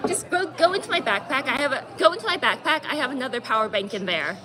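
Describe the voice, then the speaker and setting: Woman with a loud stage voice. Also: high voice, big pitch swings, fast, noisy background. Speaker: convention panelist. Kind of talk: casual chat on a panel. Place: convention panel room indoors. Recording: room with loud crowd chatter. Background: chattering audience crowd.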